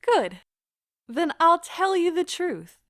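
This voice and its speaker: An actress playing an anguished, remorseful but defiant wife in an audio drama, speaking in an impassioned, deliberate, theatrical way. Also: female voice, very high-pitched, very animated, a bit bright, no room sound, studio quality, exaggerated voice. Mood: brittle, hurt. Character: anguished wife confessing to her husband, remorseful, wounded, bitterly defiant.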